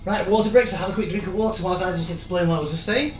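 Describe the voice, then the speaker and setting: A man, hoarse and losing his voice, leading a live-streamed workout with chatty, encouraging asides. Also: high voice, very fast, distant mic. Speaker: fitness instructor. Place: room in an old house. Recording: echoey room, off-mic, music behind.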